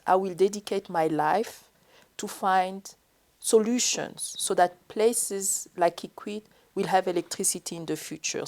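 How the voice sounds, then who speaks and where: Low-voiced Congolese woman explaining earnestly. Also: darkish tone. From Democratic Republic of the Congo. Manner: earnest, explanatory, lists items one by one. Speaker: energy regulator and professor, guest. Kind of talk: interview answers.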